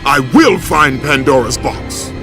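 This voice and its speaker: An actor playing a vengeful, ruthless Spartan warrior, bellowing commands and snarling threats in a game cutscene. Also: male voice, on the high side, expressive, a little slow, sharp tone, full character voice. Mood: defiant. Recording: game cutscene with music score.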